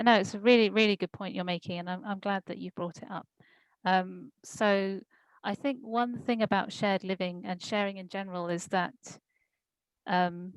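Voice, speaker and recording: A woman speaking at a conference, answering questions in a thoughtful, measured way with frequent pauses and ums. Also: medium pitch, clean tone, dry recording, low-quality recording. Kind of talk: Q&A discussion.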